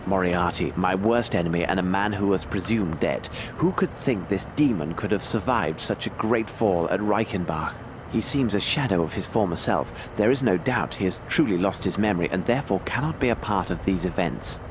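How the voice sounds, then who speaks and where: A low-pitched, composed and shrewd Victorian detective for a video game. He phrases things formally and deliberately, with a theatrical touch. Faint noise sits behind the voice. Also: animated. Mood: grave, insistent. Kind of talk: video game dramatic acting.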